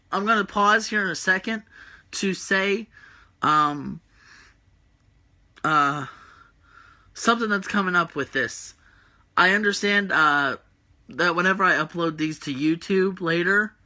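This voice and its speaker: Bright-voiced groggy man rambling on stream. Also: on the high side. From West Virginia. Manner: rambling, many filler "uh"s, reads chat comments aloud. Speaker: host of a wrestling predictions stream. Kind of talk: casual livestream chat.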